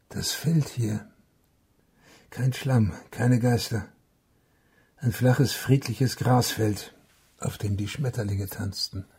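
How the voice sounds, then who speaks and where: An actor playing a haunted middle-aged veteran and father, narrating his story in a radio drama in a subdued, measured, reflective way with a husky voice. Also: medium pitch, mellow, dry recording, studio quality. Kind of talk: literary first-person radio drama narration.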